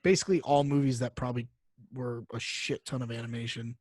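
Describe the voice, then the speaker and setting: A man's voice: medium pitch, flat delivery, fast talker, a little mellow, no echo, clean studio sound. A man co-hosting a podcast in casual, slangy chat, with frequent fillers as he talks through lists and figures. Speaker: podcast co-host.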